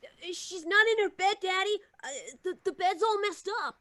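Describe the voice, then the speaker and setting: A woman voicing an excitable, mischievous young American child in an old-time radio drama, breathless and eager. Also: very high-pitched, normal speed, no echo, clean studio sound. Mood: excited. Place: small home recording booth. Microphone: close studio microphone.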